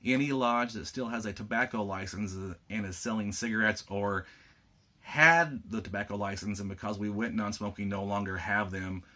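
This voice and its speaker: A man with a slightly rough voice, giving a casual video update to lodge officers, plainspoken with frequent fillers. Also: lowish voice, normal speed, bright, forceful tone. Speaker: state lodge administrator giving members an update.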